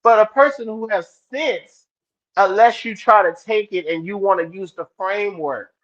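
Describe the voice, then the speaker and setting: A man with a fairly high voice, talking with blunt, profane emphasis. He often stops and restarts his sentences. The sound is a little dull and lo-fi. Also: normal speed, fairly bright tone. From New Orleans, US. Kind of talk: casual discussion.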